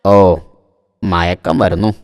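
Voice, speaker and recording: A man's voice: sits low, fairly even intonation, slow. A man voicing a self-assured but naive car owner, a comic simpleton in a cartoon. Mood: suspicious, drowsy.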